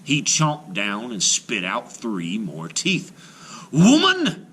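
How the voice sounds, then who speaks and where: A man reading a folktale aloud to children as an expressive storyteller. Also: fairly low pitch, takes it slowly, husky.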